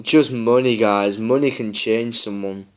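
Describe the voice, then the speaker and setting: A man talks loosely over his gameplay, his voice a little low and worn croaky from a long session. He rambles with plenty of fillers, friendly and unscripted. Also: steady tone, measured pace. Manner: rambling, chatty, many fillers. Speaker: gaming YouTuber. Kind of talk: casual gameplay chat.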